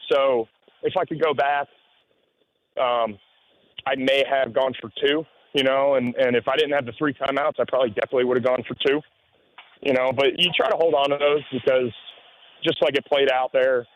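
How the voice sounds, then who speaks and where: A man who coaches high school football, explaining things in spontaneous interview answers and often saying "you know". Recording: dull lo-fi radio audio.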